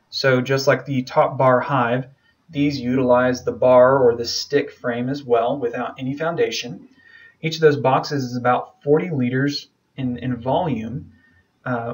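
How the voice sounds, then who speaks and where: A man from the southern United States giving an informal slide lecture as an instructor, explaining things in a casual, enthusiastic manner. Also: medium pitch. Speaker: beekeeping instructor.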